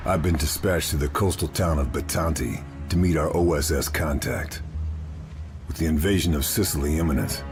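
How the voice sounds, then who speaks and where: Super deep grim ww2 sniper, terse grave mission narration for a game, music behind. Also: male voice, normal speed. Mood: clipped, serious. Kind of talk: scripted cinematic mission briefing narration.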